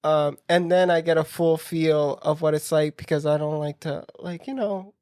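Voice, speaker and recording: A man's voice: a little high, fairly even intonation, normal speed, a little mellow, clear, resonant tone, no room sound, studio quality. A man with a Puerto Rican accent, a podcast co-host in casual chat who jokes and talks bluntly. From Puerto Rico. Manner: joking, playful and blunt.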